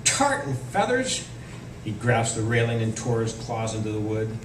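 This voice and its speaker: A man's voice: lowish voice, monotone, brisk. A man, an author, reading narrative prose aloud from his novel at a live indoor event. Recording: echoey noisy room, distant microphone.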